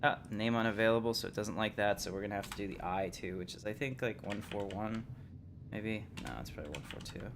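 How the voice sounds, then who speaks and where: Man, low-pitched, casual livestream commentary, halting, unhurried, thinking aloud, slight background noise. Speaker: livestream host.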